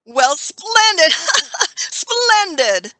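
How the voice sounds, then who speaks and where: A woman's voice: extremely high pitch, sing-song, bright, forceful tone, dry recording, heavily acted. A woman playing an imperious, fussy old man, a grandfatherly Doctor, in an audio drama. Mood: delighted. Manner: commanding, fussy, deliberate.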